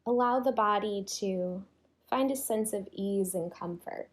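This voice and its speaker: A woman leading a guided yoga relaxation in a soothing, unhurried way, leaving long pauses between phrases. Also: medium pitch. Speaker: yoga nidra teacher.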